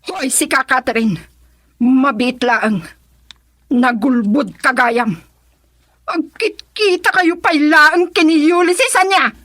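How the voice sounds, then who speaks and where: Casting: scolding, jealous mother, high-pitched, accusing, melodramatic radio delivery, dry sound. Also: animated, takes it slowly, dry recording, exaggerated voice. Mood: stern, threatening. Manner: sharp, accusing. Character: bitter, scolding, jealous middle-aged mother and wife. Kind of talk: melodramatic radio drama acting.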